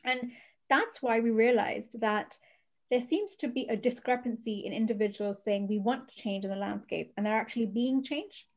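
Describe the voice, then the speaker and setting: A woman's voice: on the high side, normal speed. A woman speaking as a panelist, explaining her points earnestly. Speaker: panelist, venture fund founder. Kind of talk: panel discussion.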